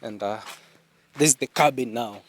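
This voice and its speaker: A man vlogging outdoors in a village, slowly explaining things on a casual tour and laughing often. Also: mid-range voice. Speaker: vlogger giving a farm tour. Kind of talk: casual guided tour chat.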